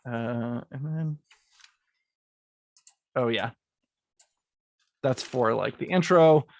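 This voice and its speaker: A man's voice: medium pitch, extremely slow, creaky. A man, a music composer, explaining how he built his theme piece, partly reading and correcting himself as he goes. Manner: restarts and corrects his script lines.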